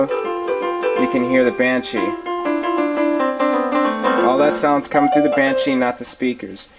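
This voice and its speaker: Man in a tutorial, distant dull lo-fi recording. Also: mid-range voice. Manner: colloquial, explains step by step, repeats himself. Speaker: tutorial host. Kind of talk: informal hands-on gear tutorial. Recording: distant mic, dull lo-fi camcorder sound.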